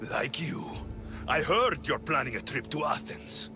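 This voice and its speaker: A man's voice: a little high, lively intonation, measured pace, gravelly. An actor playing an arrogant young male demigod who enforces a cult's will, sneering and threatening in a video game cutscene. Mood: menacing. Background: music.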